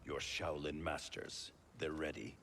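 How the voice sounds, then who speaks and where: A man playing a grim, terse, stoic warrior with a gravelly voice in a video game cutscene. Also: flat delivery, measured pace, fairly bright tone, full character voice. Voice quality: deep gravelly rumble. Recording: heavy noise under the voice.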